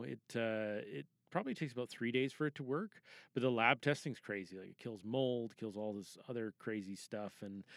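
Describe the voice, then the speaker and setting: A man's voice: on the low side, a bit bright, no room sound, clean studio sound. A man hosting a podcast in a rambling, casual, self-deprecating and scattered way, recorded in a booth. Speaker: podcast host.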